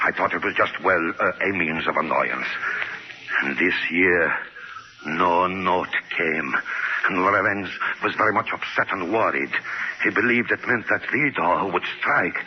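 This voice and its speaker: An actor playing a proud, stubborn scientist in a 1940s radio drama, with halting, theatrical delivery. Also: male voice, low-pitched, natural voice. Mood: uneasy recounting. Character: proud, stubborn scientist, man, scoffing at danger. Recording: muffled, hissy 1940s radio recording.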